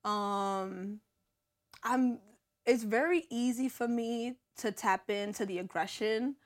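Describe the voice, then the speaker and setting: A young woman with a New York accent, chatting as a guest in an interview, brash and quick to laugh and swear. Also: on the high side. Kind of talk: casual interview chat.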